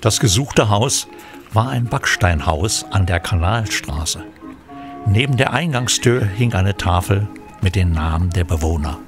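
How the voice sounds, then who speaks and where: An actor as the storyteller, giving scripted narration for a historical crime audio drama. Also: male voice, low-pitched, lively intonation, unhurried. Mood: descriptive. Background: loud music.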